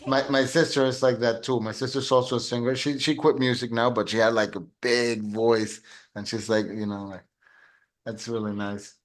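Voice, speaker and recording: A man's voice: medium pitch, flat delivery, normal speed. A man, a musician, chatting enthusiastically on a video call, full of yeahs and fillers. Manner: enthusiastic, repeats yeah, fillers. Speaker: musician, host. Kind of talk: casual video call chat.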